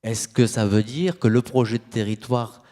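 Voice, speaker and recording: A man moderating a panel, asking a long question in a halting, hesitant way. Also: medium pitch, warm tone. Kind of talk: opening a panel debate.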